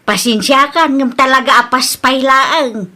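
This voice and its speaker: A woman's voice: high voice, a little slow, sharp tone. A woman playing a wary, evasive village mother in a comedy drama, apologetic and hesitant, with an Ilocano accent. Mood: awkward, evasive.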